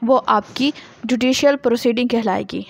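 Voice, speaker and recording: A woman's voice: fairly high pitch, moderate pace, gravelly, a little hiss or hum. A woman with a Pakistani accent giving a lecture, reading out passages and explaining them in a didactic way, often asking and answering her own questions. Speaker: law lecturer.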